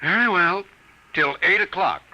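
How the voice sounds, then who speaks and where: Firm, sharp-voiced father. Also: high-pitched, full character voice. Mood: wry. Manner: brisk, dismissive, wry. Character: skeptical, firm father of a young girl. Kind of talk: 1950s radio drama acting. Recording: dull, noisy old radio recording.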